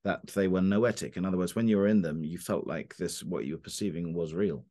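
Low-voiced man, halting and thoughtful. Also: warm tone. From Cornwall, England. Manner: halting, many fillers and restarts. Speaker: interview guest, philosopher. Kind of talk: casual video call interview. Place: home study lined with books. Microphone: video call webcam.

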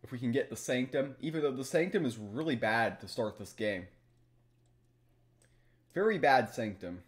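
A young adult man giving live, analytical commentary on a game as he plays, thinking aloud through each move. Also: medium pitch. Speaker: streamer, card game player. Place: small room at home, indoors.

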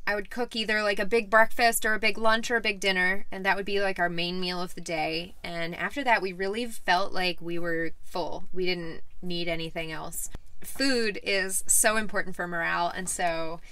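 Bright-voiced young woman chatting casually. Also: fairly low pitch, fairly even intonation, normal speed, smooth, no echo. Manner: chatty, laughs often, many fillers. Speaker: co-host. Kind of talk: casual chat. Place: small boat cabin, indoors.

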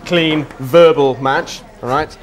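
A man's voice: fairly high pitch, fairly even intonation, slow, a crowd in the background. A man hosting a TV cooking show, playing a referee with teasing, jokey sports-style banter in a studio kitchen. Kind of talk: playful presenting. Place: TV studio kitchen set with a live audience. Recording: TV studio with audience noise.

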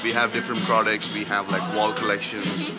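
A man in his thirties casually explains his work as a photographer. His lowish voice stays very flat in pitch, with loud music in the background. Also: a little fast. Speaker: cruise ship photographer being interviewed. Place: ship casino entrance, indoors. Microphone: handheld camera at close range.

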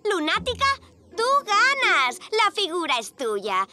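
Bright, high-voiced superhero girl. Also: very animated, takes it slowly, heavily acted. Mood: urgent, resolute. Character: brisk, determined young superhero girl. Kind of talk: children's cartoon dub.

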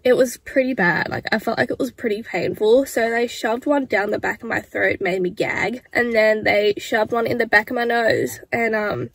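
A young woman talks casually to a close-held camera, her voice thick and nasal from a head cold. Her pitch sits a touch high, and a gravelly fry creeps into her words as she rambles on with plenty of "um" and "I mean, like.". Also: fairly bright tone. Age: young adult. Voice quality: nasal, congested. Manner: chatty, rambling, many fillers like "um" and "I mean, like". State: sick with a blocked nose and sore throat. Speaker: vlogger. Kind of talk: casual to-camera vlog talk. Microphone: camera close up at arm's length.

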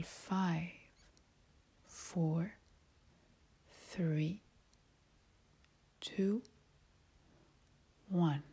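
A woman guides a meditation at a very slow pace, leaving long pauses between short phrases. Her voice is extremely breathy and half-whispered, sitting a little low. She sounds calm and soothing, like an instructor easing listeners into relaxation.

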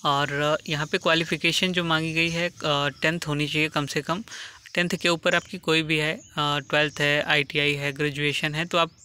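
A man talks through a job listing as voice-over for a screen recording. His voice is very bright and clean. He explains things plainly and drops in the occasional filler. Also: fairly high pitch, flat delivery, normal speed, smooth. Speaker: job vacancy channel host.

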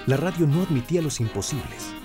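A man's voice: a little high, lively intonation, brisk. A man with a Mexican accent reading scripted documentary narration. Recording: music behind narration. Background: background music.

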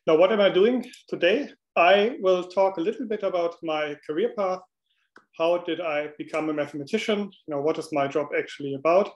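A man from Germany with a German accent, presenting a mathematics webinar talk over a video call in a relaxed, friendly way with some fillers. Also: sits high, fairly quick, lo-fi sound. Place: indoor room, on a video call. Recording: roomy echo on a video call.

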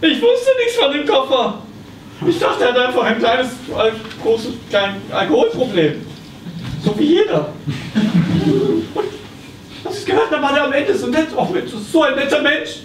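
A man plays a hapless therapist in stage comedy, flustered and stammering. The very high voice swings widely in pitch, heard from a distance in an echoey theatre. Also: sing-song, moderate pace, long pauses, a little hiss or hum. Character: flustered, pleading, hapless male psychotherapist. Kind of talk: live comedy radio play on stage.

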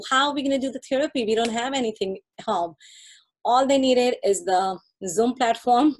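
A woman's voice: mid-range voice, a little mellow. Woman presenting on a video call. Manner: friendly, explanatory, laughs lightly, frequent fillers. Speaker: occupational therapist presenter. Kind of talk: webinar presentation. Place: home room, indoors.

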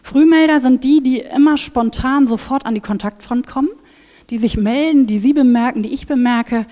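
A woman in her thirties on a headset mic, giving a lively conference talk and acting out inner voices. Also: high-pitched, moderate pace. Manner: animated, acts out inner voices. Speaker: speaker at a conference. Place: large brick hall with seated audience. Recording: reverberant hall.

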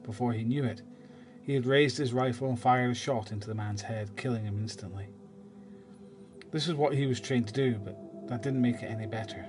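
A man reading scripted narration for an audio drama. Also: mid-range voice.

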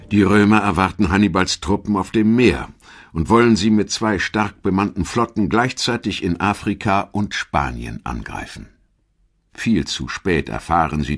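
A man narrating a scripted history documentary in a measured, dramatic storytelling manner. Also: low voice, breathy edge.

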